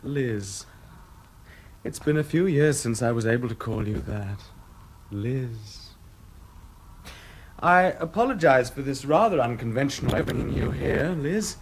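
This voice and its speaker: An actor in a radio drama playing a smug, cynical English ex-soldier, suave and mocking, with an English accent. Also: male voice, medium pitch, expressive, a little slow, pauses often, slight background noise. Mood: mockingly nostalgic.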